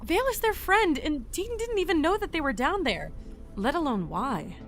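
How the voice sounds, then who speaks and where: A woman's voice: sits high, soft background music, exaggerated voice. A woman playing an earnest, anxious young entity in an audio drama, talkative and flustered. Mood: pleading, defensive. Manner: flustered, expressive, halting.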